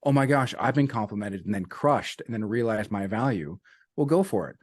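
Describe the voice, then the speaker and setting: Low-voiced earnest male coach, dry sound. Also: expressive, a little fast, dry recording. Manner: earnest, repeats key sayings, uses "man" and "you know". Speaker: business and life coach guest. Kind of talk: casual interview talk.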